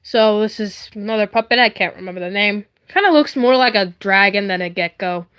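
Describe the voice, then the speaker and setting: A woman's voice: medium pitch, fairly quick, fairly bright tone, clean tone. A woman hosting a casual livestream, rambling in a playful, silly way as she shows things off. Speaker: livestream host. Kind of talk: casual chatty livestream, showing handmade puppets.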